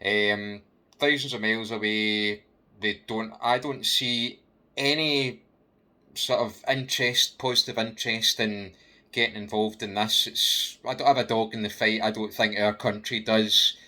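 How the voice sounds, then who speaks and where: A Scottish man giving an unscripted personal opinion rant in a halting way, repeating himself and putting questions straight to the listener. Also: lowish voice, steady tone, bright, forceful tone. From Scotland, UK.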